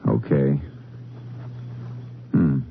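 An actor playing a calm, laconic Texas Ranger investigator in a 1950s radio drama, terse and methodical. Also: male voice, extremely low pitch, very slow, vocal fry, a little hiss or hum, heavily acted. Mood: businesslike. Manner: terse, methodical, matter-of-fact. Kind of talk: 1950s radio drama acting and case narration. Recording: dull old radio recording.

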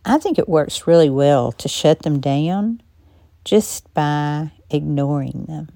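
A woman with a low, gravelly, hoarse voice talks slowly and thoughtfully through a personal podcast monologue. She has a non-native English accent, pauses often between her thoughts, and sounds close and dry on the mic. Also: dry recording. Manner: calm, reflective, measured delivery with frequent pauses. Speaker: podcast host.

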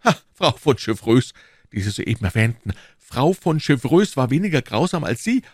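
A man's voice: mid-range voice, very animated, normal speed, husky, dry recording, studio quality, pitched above the performer's own voice. A man voicing a passionate, lovestruck English duke from a classic novel, pleading and fatalistic in an ardent, courtly, declamatory manner.

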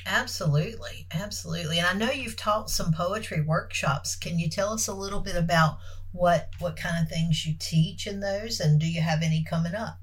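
A woman interviewer with a fairly low voice that is wearing thin, as she is losing it. She asks long, admiring questions at an easy, conversational pace. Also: moderate pace, breathy edge. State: losing her voice. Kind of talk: casual interview.

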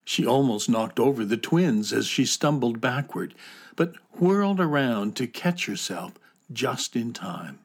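A man narrating a children's fantasy audio drama in a measured, descriptive way. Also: medium pitch, lively intonation, husky. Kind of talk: scripted storybook narration.

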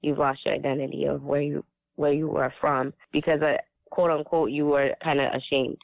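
Man whose first language was swahili, gravelly voice, dry tinny phone-ish sound. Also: fairly high pitch, flat delivery, normal speed, no echo. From Africa. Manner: reflective, earnest storytelling. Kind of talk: casual chat.

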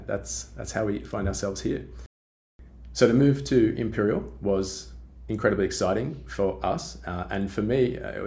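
Deep-voiced Australian man explaining science. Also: darkish tone, a little hiss or hum. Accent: Australian with British influence. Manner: explanatory, with frequent fillers and restarts. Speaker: guest scientist. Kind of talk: podcast interview.

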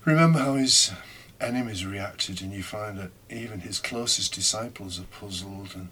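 A man giving a reflective homily, speaking deliberately with pauses and rhetorical questions. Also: on the low side, sharp tone, breathy edge. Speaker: preacher giving a scripture reflection.

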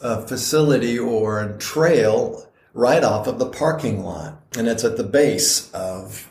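A man's voice: medium pitch, slow pace, gravelly, darkish tone, echoey room, a little hiss or hum. A middle-aged man co-hosting a podcast, chatting in a rambling way with frequent restarts. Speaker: podcast co-host. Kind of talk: casual chat.

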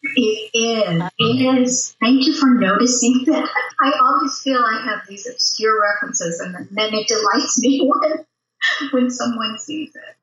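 A woman's voice: fairly high pitch, a little slow, fairly bright tone. A woman answering conversationally as an interview guest, a playwright talking about her work. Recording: roomy, distant, slightly dull sound.

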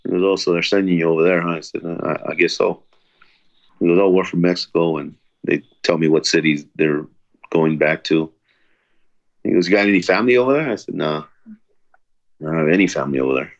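A man's voice: low-pitched, a little fast, pauses often, highs cut off. A man telling his own story of prison in an earnest, plain way, with restarts. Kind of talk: personal testimony interview.

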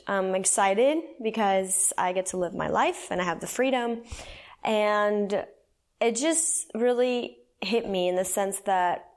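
A woman from El Salvador in her thirties, telling her personal faith testimony in an earnest, conversational way with frequent fillers. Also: mid-range voice. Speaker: guest sharing her testimony.

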